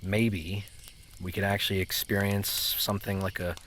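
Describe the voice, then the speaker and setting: A man's voice: on the low side, flat delivery, normal speed, heavy background noise, natural voice. A man playing an uneasy grown grandson who narrates an audio drama and talks in a hesitant way. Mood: reflective. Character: grown grandson, uneasy, relieved, reluctant with his family. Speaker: narrator and lead character. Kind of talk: first-person audio drama narration and dialogue. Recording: music bed under the voice.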